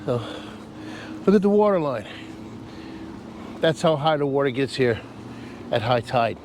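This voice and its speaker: A man's voice: mid-range voice, animated, unhurried, darkish tone, slight background noise. A man with a nasal voice from a cold, chatting casually and laughing at himself while walking through an outdoor park for his travel vlog. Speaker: travel vlogger. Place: outdoor park by a causeway bridge.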